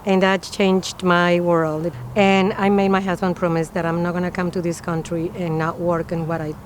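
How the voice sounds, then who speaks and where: Earnest Peruvian-born woman, lowish voice. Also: level tone, normal speed, slight background noise, rough recording. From Peru. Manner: earnest, with non-native phrasing and many fillers. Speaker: preschool director. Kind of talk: interview.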